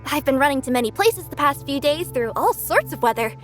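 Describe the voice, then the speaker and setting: A woman's voice: high-pitched, lively intonation, fairly quick, soft background music. A woman voicing a cheerful young female cat-spirit courier who speaks politely and stammers when nervous. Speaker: delivery courier. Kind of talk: video game voice acting.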